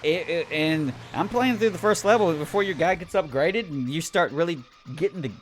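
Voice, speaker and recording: A man's voice: on the high side, moderate pace, slight background noise. A man giving an unscripted, casual game review in a rambling, blunt, griping and sweary way.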